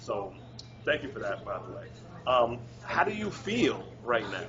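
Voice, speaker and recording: A man's voice: mid-range voice, sing-song, measured pace, dull audio, crowd noise. A man hosting a casual interview, upbeat and encouraging, often repeating himself. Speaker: interview host.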